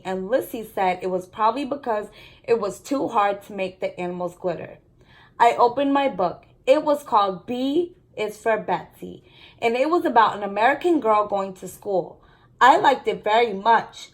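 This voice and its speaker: A woman teacher reading a story aloud to students and explaining it in an instructive way. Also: medium pitch. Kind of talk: read-aloud storytelling with lesson commentary.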